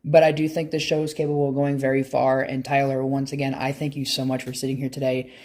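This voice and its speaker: A man's voice: medium pitch, completely flat delivery, fast, a little mellow. A man hosting a podcast, interviewing guests in a conversational way. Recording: roomy echo, background noise.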